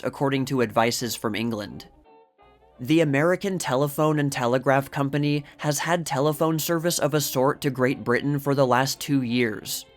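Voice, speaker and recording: A man narrating from a script, reading a magazine article aloud. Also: medium pitch. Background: music.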